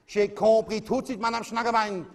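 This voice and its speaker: A gossipy Alsatian housewife. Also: male voice, high voice, flat delivery, moderate pace. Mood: gossiping. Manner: gossipy, breathless confiding chatter. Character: chatty middle-aged Alsatian housewife gossiping on phone. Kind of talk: comic phone monologue.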